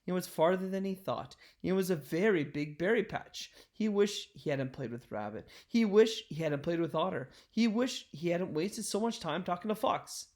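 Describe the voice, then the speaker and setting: A man reading a children's picture book aloud in an expressive, deliberate storytelling style. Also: sits high.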